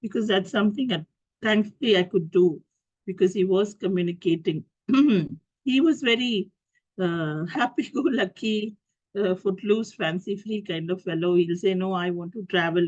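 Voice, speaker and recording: A middle-aged woman with an Indian English accent speaks through a cold and cough, picking her words with many pauses, fillers and restarts. Her voice comes over a dull, lo-fi video-call line with a dry, close sound. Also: lowish voice, a little mellow, no room sound. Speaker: guest speaker. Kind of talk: talk to an online group.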